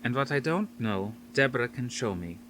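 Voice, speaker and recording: A man's voice: medium pitch, animated, normal speed, a bit bright, slight background noise, voice pushed up, natural voice. A man reading an audiobook, voicing a stately grandmother near seventy with a faint Dutch accent who speaks firmly and formally. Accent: faint Dutch, as put on. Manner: firm, soothing, formal phrasing.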